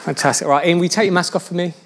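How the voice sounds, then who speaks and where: High-voiced pastor, joking sermon. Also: male voice, racing, some chatter behind. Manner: chatty, jokey storytelling, addressing the church directly.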